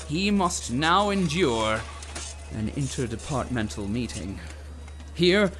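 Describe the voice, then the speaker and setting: A pompous, animated propaganda narrator. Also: male voice, fairly high pitch, poor audio. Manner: pompous, solemn, dramatic announcing. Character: grandiose male propaganda narrator for a ruling corporation. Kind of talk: scripted narration, dystopian comedy audio drama.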